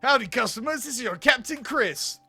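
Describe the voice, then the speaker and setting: A man's voice: very high voice, lively intonation, normal speed, pushed and bright, slightly rough, soft background music, exaggerated voice. A man voicing an earnest, naive, eager restaurant captain in a comedy visual novel, with silly exclamations. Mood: cheerfully announcing.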